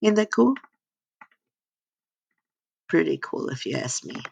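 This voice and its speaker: An older woman with a hoarse voice gives an earnest faith-based motivational talk from her slides, rambling and often asking "right?" and "you know?". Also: deep voice, big pitch swings, slow pace, a little mellow. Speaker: speaker giving a slide talk.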